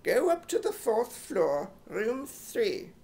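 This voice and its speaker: A man voicing a doddery old pawnshop keeper with a drawn-out, quavering manner and a put-on British accent, in radio comedy style. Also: very high voice, sing-song, vocal fry, darkish tone, exaggerated voice. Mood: fussy. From United States. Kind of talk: 1950s radio comedy acting.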